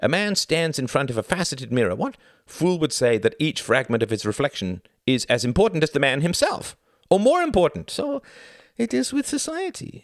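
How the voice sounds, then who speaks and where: A man performs a proud young German ideologue in an audiobook. The voice is raised high and swings dramatically, declaiming with scornful, fanatical insistence in a very dry, close recording. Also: creaky, no room sound.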